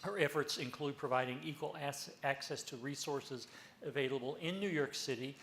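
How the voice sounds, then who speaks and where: A man moderating a university panel discussion, introducing the speakers in a measured, polite way with occasional fillers. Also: medium pitch, a bit bright, a little hiss or hum.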